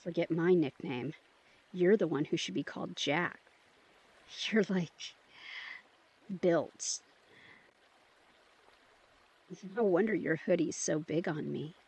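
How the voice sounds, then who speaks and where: A woman playing an empathic, caring young vampire in an intimate audio drama, breathy, probing and gently teasing. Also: on the low side, a little slow, long pauses, full character voice. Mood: intrigued, teasing.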